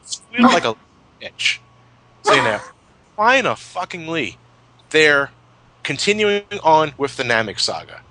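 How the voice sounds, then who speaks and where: A man in his twenties chatting casually as a podcast commentator, reminiscing in a halting, sweary way and often repeating his words. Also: a little high, big pitch swings, bright, forceful tone. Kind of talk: casual retrospective chat, reminiscing.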